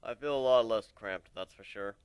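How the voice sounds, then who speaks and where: A man voicing a guarded, haunted male fighter in a measured, serious dramatic reading. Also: lowish voice, clean tone, no echo, natural voice. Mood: casual, dry. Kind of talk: dramatic reading of fan fiction.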